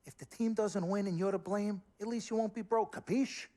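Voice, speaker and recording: An actor playing a pushy, fast-talking male sports agent in a video game, slick and emphatic in his delivery. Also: high voice, steady tone, warm tone, lightly acted. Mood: coaxing. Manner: slick, emphatic, repeats words for effect. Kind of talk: cinematic sports game dialogue.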